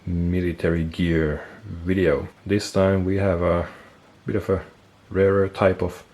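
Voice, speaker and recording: A man with a stuffy nose, casually explaining military gear on camera, relaxed and thinking aloud with fillers and self-corrections. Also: low voice, measured pace. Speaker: military gear collector and video host. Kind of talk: casual show-and-tell explanation.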